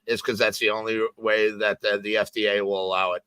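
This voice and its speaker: Bright-voiced, stuffy, rambling man. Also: lowish voice, little pitch movement, fairly quick, clean tone, no room sound. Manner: rambling with many fillers, laughs easily. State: a little congested. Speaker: co-host. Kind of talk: casual chat.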